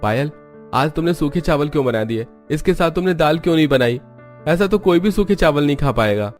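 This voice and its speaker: A man's voice: a little high, animated, a little fast, soft background music, lightly acted. A man voicing a weary, hardworking poor labourer devoted to his family in a cartoon drama. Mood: disappointed.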